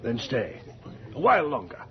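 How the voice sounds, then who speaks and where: An actor playing an ardent, courtly colonel with a gravelly voice and halting delivery in a theatrical radio drama. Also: male voice, medium pitch, wildly swooping pitch. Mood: ardent, pleading. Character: aging soldier colonel, ardent gallant besotted with Death. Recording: muffled old radio drama, music behind.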